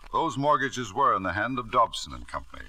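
A ruthless knighted tycoon. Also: male voice, lowish voice, very animated, brisk, sharp tone, no room sound, heavily acted. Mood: cool menace. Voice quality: crisp, cool, sardonic. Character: ruthless self-made millionaire father, knighted. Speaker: narrator and lead character. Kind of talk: 1940s radio acting. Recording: dry old radio broadcast.

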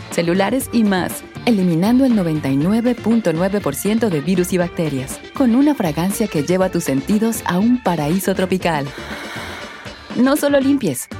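Woman's ad read over music. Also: mid-range voice. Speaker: announcer. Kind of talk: radio ad read. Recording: ad with clear music bed.

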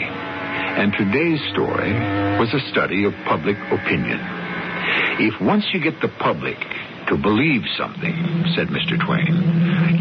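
A man hosting a radio drama series with scripted narration, deliberate and theatrical. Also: lowish voice, background noise. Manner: deliberate, theatrical, rhetorical questions. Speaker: host of a radio mystery series. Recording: dull radio broadcast, loud music behind.

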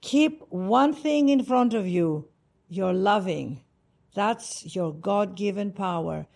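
A woman from Greece with a Greek accent, a spiritual author speaking in a warm, effusive and emphatic way as a podcast guest. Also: mid-range voice, slow, gravelly. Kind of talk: heartfelt interview talk. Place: Cartagena, Colombia.

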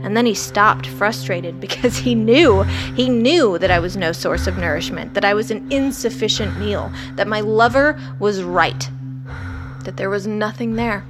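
An actress playing a self-possessed woman doctor in an audio drama, telling a horror story in an intense, deliberate, first-person monologue. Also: medium pitch, natural voice. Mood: uneasy recollection. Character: ambitious woman ER doctor recounting terror, cold and self-possessed. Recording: loud music bed under the voice.

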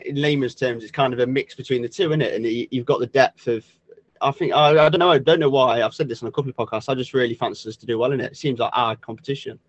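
A man's voice: medium pitch, fast, no echo. A man hosting a casual podcast, joking, laughing a lot and asking rambling questions. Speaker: podcast host. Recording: dull, lo-fi, dry sound.

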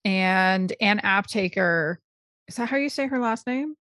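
A woman's voice: medium pitch, unhurried, fairly bright tone, no room sound, studio quality. A woman co-hosting a podcast in casual chat, asking curious, teasing questions and laughing often. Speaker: podcast co-host.

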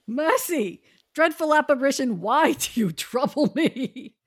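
A woman's voice: sits high, expressive, a little slow, no echo, clean studio sound. A woman voicing a grumpy old miser in a comic reading, mock-dramatic, swearing now and then and stumbling over filler words. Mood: pleading.

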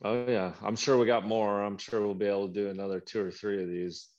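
A laid-back, plain-spoken man is the guest on a hunting podcast. His voice is very low. The recording sounds dull and a little lo-fi. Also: flat delivery, fairly quick, a little mellow. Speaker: podcast guest, coyote hunter. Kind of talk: casual hunting chat.

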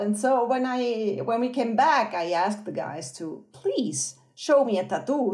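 Cheerful Swiss woman telling a story. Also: medium pitch. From Switzerland. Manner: cheerful, laughing, lively storytelling with fillers. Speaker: guest researcher. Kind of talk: interview storytelling.

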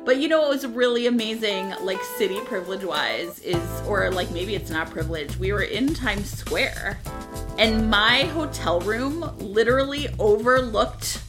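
A woman's voice: mid-range voice, normal speed, over music. A woman co-hosting a podcast, chatting casually in an enthusiastic, emphatic and colloquial way. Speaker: podcast co-host. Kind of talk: casual chat.

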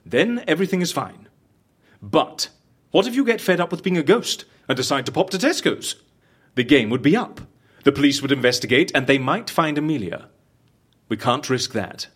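An actor playing a suave, businesslike and wry agency fixer in an audio drama, articulate and persuasive with a theatrical way of talking. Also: male voice, fairly high pitch, lively intonation, moderate pace, fairly bright tone, slightly rough. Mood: cautioning.

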